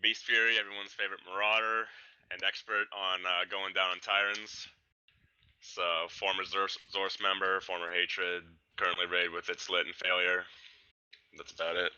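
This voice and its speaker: Flat low man on a dull mic. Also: moderate pace, clean tone. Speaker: guest gamer. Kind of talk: casual voice chat.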